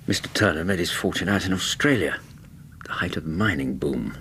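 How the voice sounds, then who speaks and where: Courteous English physician for radio play: low, very expressive intonation, reassuring bedside manner, tactful questions. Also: male voice, fairly quick, slight background noise, exaggerated voice. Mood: conversational, reporting. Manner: courteous, reassuring, gently probing. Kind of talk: radio drama acting.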